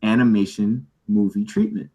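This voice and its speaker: A man's voice: on the low side, very animated, moderate pace, creaky, mellow, poor audio. A man chatting casually as a panelist, speaking emphatically and repeating himself to drive a point home. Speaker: podcast panelist.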